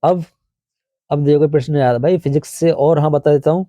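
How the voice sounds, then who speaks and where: A man's voice: a little high, fairly even intonation, normal speed, no room sound, highs cut off. A man with a North Indian accent teaching an informal exam review, explaining conversationally and answering his own questions.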